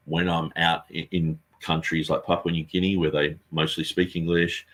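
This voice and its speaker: Male street preacher in an interview, low husky voice, earnest and rambling, lots of restarts. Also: level tone, moderate pace, a bit bright. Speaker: street preacher and Bible translator. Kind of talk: conversational interview answer.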